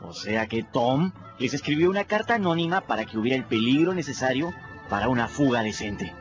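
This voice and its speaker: A man's voice: mid-range voice, lively intonation, a little fast, highs cut off, over music, close to an everyday voice. An actor narrating as a plain-spoken boy in a radio drama, with a wry storytelling manner.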